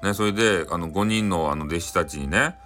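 A man with a Hakata dialect informally telling a bedtime folktale in a folksy, conversational way with halting phrases. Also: low voice, bright, forceful tone, faint music behind. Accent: Hakata, Japan. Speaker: storyteller.

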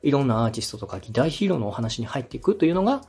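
A man's voice: medium pitch, big pitch swings, rapid-fire, darkish tone, slightly rough. A man speaking as a podcast host and director, giving polite, step-by-step feedback and acting out short examples as he explains. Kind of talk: structured coaching talk on a podcast.

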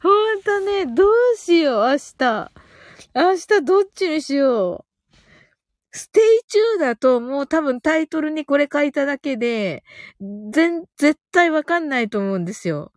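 High-voiced woman chatting on a live stream. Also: animated, normal speed, no room sound, studio quality. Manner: giggly, cheerful, often thinking aloud. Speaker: host of a live audio stream. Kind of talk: casual live-stream chat, reading out listener comments.

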